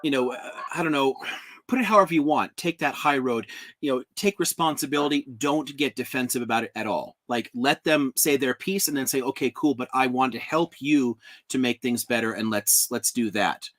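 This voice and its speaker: Male host of a live stream, mid pitch, reflective, rephrases as he goes. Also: moderate pace, a bit bright. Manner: thoughtful, restarts often, advising.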